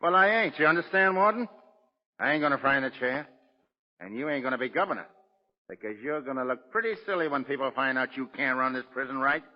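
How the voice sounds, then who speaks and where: An actor playing a cocky, hard-boiled American gangster on death row in 1940s radio drama, sneering and taunting in slangy tough talk. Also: male voice, mid-range voice, quick pace, lightly acted. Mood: defiant. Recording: muffled old radio recording with long reverb.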